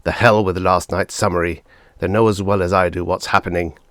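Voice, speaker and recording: A male audiobook reader voicing an angry, bitter, hawkish father with savage, forceful outbursts. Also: deep voice, fairly even intonation, a little fast, no room sound, clean studio sound. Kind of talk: audiobook character voice.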